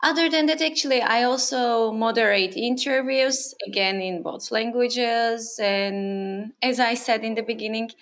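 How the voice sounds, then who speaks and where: A woman's voice: a little high, measured pace, hardly any pauses, a bit bright. A woman, a native Turkish speaker from Turkey, in her thirties, answering interview questions in a thoughtful, casual way, with fillers and restarts. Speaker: interview guest, freelancer. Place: her home on an island.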